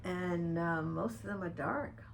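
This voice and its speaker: An older woman delivers a slow, earnest monologue, speaking pointedly to one person by name. Her voice sits fairly low and carries a heavy gravelly rasp. A faint noise stays in the background throughout. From Dominican Republic. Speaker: vlogger addressing a public figure. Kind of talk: personal open-letter video monologue.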